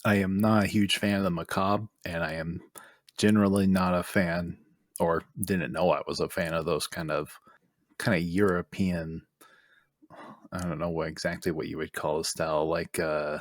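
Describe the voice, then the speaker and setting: A man on a podcast panel chatting casually, often halting as he searches for his words. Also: low voice, fairly even intonation, no room sound, studio quality. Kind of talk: casual chat.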